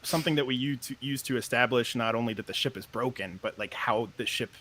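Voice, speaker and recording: A man's voice: medium pitch, quick pace, bright, forceful tone, poor audio. A man who created a podcast, explaining at length in a casual, enthusiastic interview.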